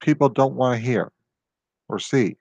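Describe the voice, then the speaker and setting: A man's voice: mid-range voice, unhurried, gravelly, darkish tone, dry recording. A man hosting his own channel, talking through rambling tangents with exasperated complaints. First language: not Japanese. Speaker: video host. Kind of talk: casual solo commentary.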